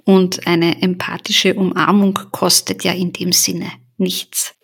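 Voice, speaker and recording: A woman's voice: fairly low pitch, little pitch movement, slow pace, creaky, slightly rough. A woman hosting an interview podcast, speaking in a thoughtful, searching way with frequent fillers. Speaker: podcast host and journalist. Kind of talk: personal reflective conversation.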